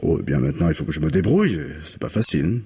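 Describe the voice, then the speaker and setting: A man's voice: extremely low pitch, very animated, quick pace, mellow, breathy edge, exaggerated voice. An actor playing a reserved, troubled man of about forty in a French radio mystery, polite, hesitant and guarded. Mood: weary, resigned.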